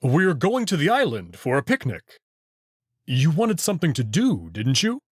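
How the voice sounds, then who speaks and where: A man's voice: fairly high pitch, wildly swooping pitch, moderate pace, no echo, clean studio sound. A man voicing a friendly young male wolf with a polite, slightly formal, eager manner in a visual novel. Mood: casual. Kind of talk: visual novel character reading.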